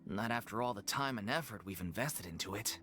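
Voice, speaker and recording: A man's voice: on the low side, brisk, sharp tone. An actor playing an earnest, apologetic male leader of an amateur theater troupe in a game cutscene. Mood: wistful. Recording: game audio with faint music.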